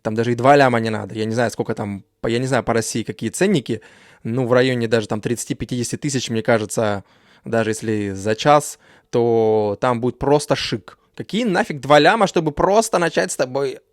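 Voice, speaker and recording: A man's voice: medium pitch, fairly quick, no echo. Man with dry, slangy reaction commentary. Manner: dismissive, slangy, lots of fillers. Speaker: reaction video host.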